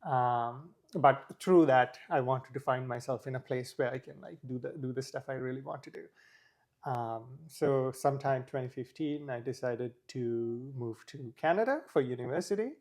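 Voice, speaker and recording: A man's voice: mid-range voice, normal speed, a little mellow. A young man from Sri Lanka, a guest talking casually in an interview, with many fillers.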